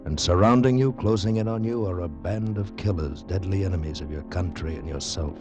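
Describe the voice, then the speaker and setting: An actor playing an ominous, dramatic narrator in an old radio suspense teaser, deliberate and urgent. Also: male voice, low-pitched, natural voice. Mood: menacing, urgent. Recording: dull old radio broadcast, music underneath. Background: dramatic music.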